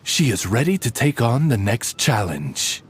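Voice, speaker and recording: A man's voice: medium pitch, sing-song, normal speed, heavy background noise, lightly acted. A man voicing a courtly raven familiar with the formal, polite manner of a butler in an English game dub. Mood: politely formal.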